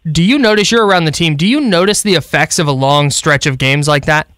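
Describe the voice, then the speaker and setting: A man's voice: a little high, brisk, fairly bright tone, dry recording. A man co-hosting a casual sports radio interview, speaking into a close microphone in a small studio.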